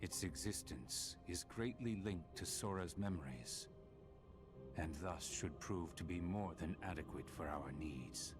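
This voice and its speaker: Super deep serious game villain, formal and stoic, dutiful planner type, music in back. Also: male voice, lively intonation, a little slow. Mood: grave, explanatory. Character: grave, dutiful male villain strategist, coolly formal. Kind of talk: dramatic video game cutscene acting.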